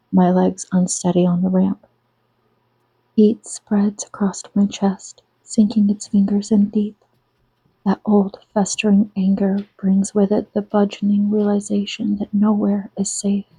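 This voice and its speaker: Soft-spoken woman reading, dark and husky. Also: medium pitch, no room sound. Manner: soft, slow, intimate, drifting into whispers. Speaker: author reading her own novel. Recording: dull lo-fi sound, close and dry.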